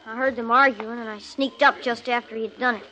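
A high, bright young voice of a frontier kid , as acted for radio. The child is plucky and earnest, in a 1950s western drama. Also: steady tone, brisk, slight background noise, close to an everyday voice, rough recording.

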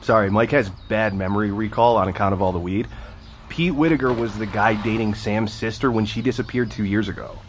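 A man's voice: lowish voice, fairly quick, natural voice. A man with an American accent playing a wry, wordy teenage stoner in an audio drama. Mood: amused, surprised. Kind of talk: naturalistic audio drama dialogue. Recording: noisy outdoor ambience behind.

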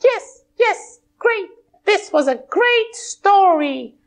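A man voicing an excitable, childlike little toy pony in a squeaky falsetto for a children's storybook show. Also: slow, heavily acted. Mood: excited. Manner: repeats words excitedly. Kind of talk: children's storybook puppet play.

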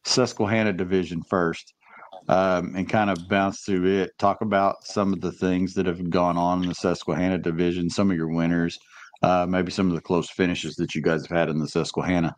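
A man's voice: low-pitched, little pitch movement, moderate pace, no room sound. A man hosting a fishing podcast, asking friendly questions and explaining tournament details. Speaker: podcast host. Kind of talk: casual podcast interview.

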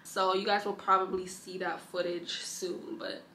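A woman's voice: on the low side, flat delivery, a little slow, bright, forceful tone, a little hiss or hum, rough recording. A young woman vlogger talking casually to the camera in her bedroom, chatty and gushing, with lots of fillers. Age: young adult. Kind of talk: casual vlog talk to camera. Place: bedroom indoors. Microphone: camera mic, sitting or handheld close.

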